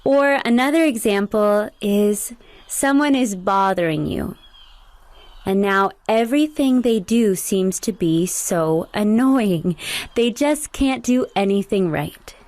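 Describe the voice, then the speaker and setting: A woman's voice: mid-range voice, a little mellow, no echo. Soothing woman guiding a meditation. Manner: slow, soothing and instructive. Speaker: meditation guide. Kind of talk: guided meditation.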